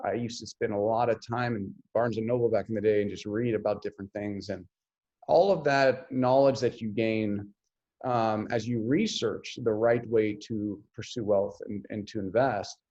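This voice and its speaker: A man's voice: medium pitch, mellow. Guy giving earnest advice, stops and restarts a lot, muffled lo-fi call audio. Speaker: podcast guest, investor. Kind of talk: casual interview chat.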